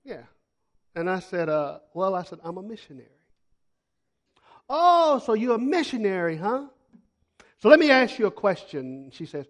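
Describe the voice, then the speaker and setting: High-voiced preacher telling folksy stories. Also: male voice, very animated, moderate pace, pauses often. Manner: folksy storyteller, repeats phrases for emphasis, swings from loud to hushed. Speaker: missionary preacher. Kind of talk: sermon with storytelling.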